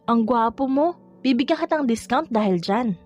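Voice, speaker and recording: Woman acting a high-voiced cheeky young fish vendor. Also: animated, normal speed, darkish tone, soft background music. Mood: playful, gleeful. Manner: exclamatory, cheeky. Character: feisty, playful young female fish vendor, easily flustered.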